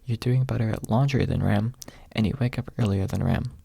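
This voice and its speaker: A haughty young maid, read by a man in a quiet storybook style. The delivery is dry and dismissive, with a husky, creaky voice. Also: on the low side, fairly quick, no echo, exaggerated voice. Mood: disapproving. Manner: dry, dismissive, refers to self in third person. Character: haughty, blunt young pink-haired maid, a woman. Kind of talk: ASMR audiobook character reading.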